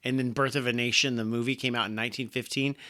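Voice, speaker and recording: A man's voice: mid-range voice, flat delivery, fast talker, pushed and bright. A man co-hosting a comics podcast, talking casually with rambling, wry asides. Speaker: podcast co-host. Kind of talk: casual chat.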